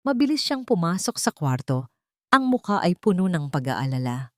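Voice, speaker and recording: Dramatic woman storyteller with lively intonation. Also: fairly low pitch, normal speed, dry recording, studio quality. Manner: suspenseful, emotive storytelling. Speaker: story narrator. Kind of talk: dramatic scripted story narration.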